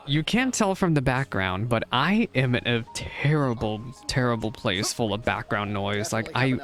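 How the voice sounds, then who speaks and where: A man's voice: fairly low pitch, a bit bright. A man streaming casual reaction commentary on a game into a dynamic microphone, chatty and often interrupting himself with asides.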